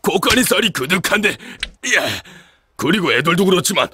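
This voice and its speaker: An actor playing a middle-aged eldest son, generous and careless with money, who brushes off worry in a breezy way. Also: male voice, high-pitched, very animated, normal speed, pushed and bright, a little hiss or hum, heavily acted.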